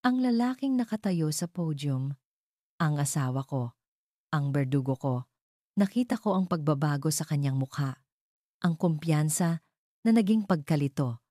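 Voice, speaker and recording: A woman narrating a dramatic scripted story in the first person, in measured, deliberate short phrases. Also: low voice, warm tone, no room sound, clean studio sound.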